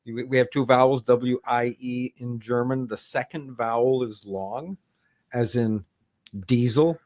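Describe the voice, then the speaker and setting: An older man speaks as an interview guest in a medium-pitched voice. His talk is casual yet precise, with careful explanations. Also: steady tone, measured pace, darkish tone. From Griswold, Connecticut, United States. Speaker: interview guest, cidery owner.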